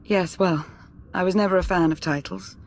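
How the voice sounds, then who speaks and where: A woman's voice: on the low side, fairly even intonation, moderate pace, slight background noise, close to an everyday voice. An actress playing a cool, commanding female Sith intelligence minister in a video game, clipped and authoritative. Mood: businesslike. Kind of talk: video game cutscene acting.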